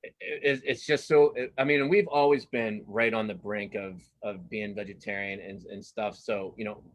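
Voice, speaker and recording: Halting, earnest middle-aged man. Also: fairly low pitch, steady tone. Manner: halting, self-interrupting, earnest. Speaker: cartoonist being interviewed. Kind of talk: casual live interview.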